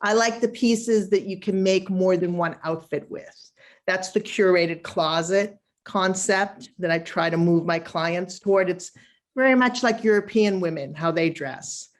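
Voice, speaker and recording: A woman's voice: fairly low pitch, measured pace. A woman with a Boston accent speaks as a wardrobe stylist on a video call. Her delivery is chatty and emphatic, and she laughs easily. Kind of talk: casual live webinar talk.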